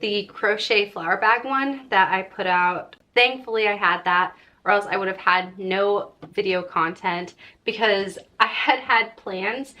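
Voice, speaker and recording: A woman's voice: mid-range voice, unhurried, bright, forceful tone, lo-fi sound. A young woman vlogger talking to her viewers in a chatty, informal way. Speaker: crochet vlogger and host. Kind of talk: casual chat to viewers.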